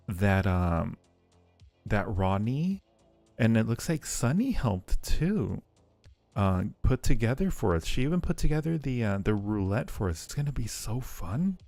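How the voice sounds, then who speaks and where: A man with a lowish voice and plenty of vocal fry chats very slowly on a livestream. He rambles and circles back on his phrases, playful and affectionate with his viewers, with faint music behind him. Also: a little mellow, no room sound. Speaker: livestream host. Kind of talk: casual livestream chat.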